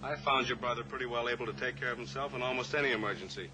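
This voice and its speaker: An actor playing a calm, assured rancher-pilot in a 1950s radio drama, steady and fatherly in manner. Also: mid-range voice, rapid-fire, background noise, close to an everyday voice. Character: steady heroic rancher-pilot, adult man. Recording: old radio recording with music.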